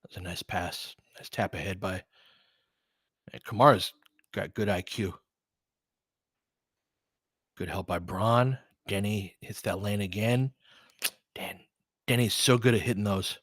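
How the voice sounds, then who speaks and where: A man's voice: fairly low pitch, steady tone, unhurried, long pauses, slightly rough, no room sound, clean studio sound. A man giving live basketball play-by-play and casual analysis as a candid, colloquial fan commentator who reacts openly and swears.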